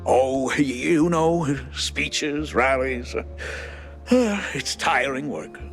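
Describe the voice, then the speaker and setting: A man's voice: mid-range voice, expressive, drawn-out words, soft background music. A man voicing a flustered, genteel cartoon mouse politician who stammers and hesitates politely. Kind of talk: animated game voice acting.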